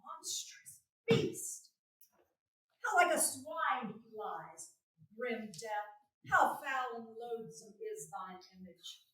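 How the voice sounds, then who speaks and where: Woman playing a haughty, animated Shakespearean lord. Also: on the high side, slow, heavily acted. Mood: disgusted. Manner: declamatory, theatrical delivery. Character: haughty lord out hunting, scheming a prank. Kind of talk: staged Shakespeare reading. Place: theatre stage, live performance. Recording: echoey stage, recorded from far off.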